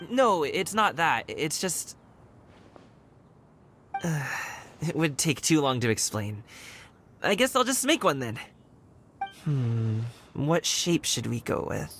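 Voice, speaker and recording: An aloof but kindly youth in a game. The voice is mid-pitched and varied in tune, hesitant and reluctant, with faint noise behind. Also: big pitch swings, slow pace, long pauses, fairly bright tone, full character voice. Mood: reluctant, resigned. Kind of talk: video game voice acting.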